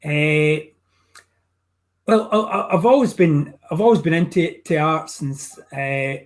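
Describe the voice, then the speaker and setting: A man's voice: on the high side, slow. An older man with a Glasgow accent, a painting teacher chatting over a video call from his home studio, rambling with many restarts. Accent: Glaswegian. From Scotland. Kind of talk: casual video call chat.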